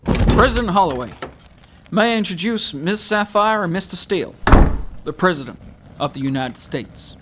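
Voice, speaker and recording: A man's voice: high-pitched, animated, normal speed, heavy background noise. A man playing a formal, deferential, anxious presidential aide in an audio drama. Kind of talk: fan-made audio drama acting.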